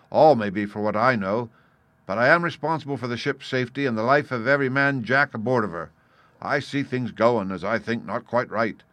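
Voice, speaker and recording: A man voicing a blunt, stern ship's captain in an audiobook, clipped and forceful in his plain speaking. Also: mid-range voice, no echo, voice pushed up, lightly acted. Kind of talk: audiobook character voice.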